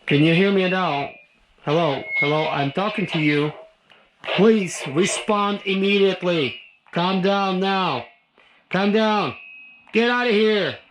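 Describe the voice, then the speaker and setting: A man's voice: sits high, slow pace, crowd noise. A man giving casual, rambling hands-on commentary as he tests a product, thinking aloud as he goes. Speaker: product reviewer. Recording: noisy recording with clicks.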